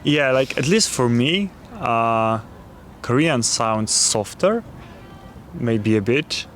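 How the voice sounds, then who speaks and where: Polish-accented male tourist, halting answers, street recording with light traffic. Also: medium pitch, expressive, a bit bright. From Poland. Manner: hesitant, many fillers. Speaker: interviewee, tourist. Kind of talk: street interview. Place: city street outdoors.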